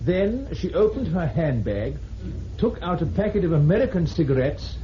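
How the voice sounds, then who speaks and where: British courtroom prosecutor, formal and declaiming, lively intonation, old radio with faint hiss. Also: male voice, a little high, normal speed, dull audio. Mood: formal, deliberate. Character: formal British Crown Prosecutor, male, methodical. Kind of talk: vintage radio drama, reading aloud in court.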